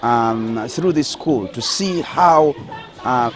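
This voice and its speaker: A man's voice: medium pitch, slow pace. A man hosting on location at a school, presenting to viewers and putting points to his guide. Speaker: video host and reporter.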